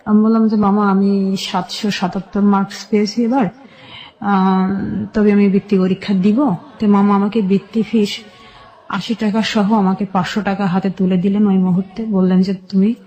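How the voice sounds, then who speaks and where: A woman with a Bangladeshi accent shares her own story in a radio interview. Her voice is soft-edged and mellow, at an ordinary pitch. She recalls things in short, halting phrases. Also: flat delivery, low-quality recording. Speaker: guest telling her life story.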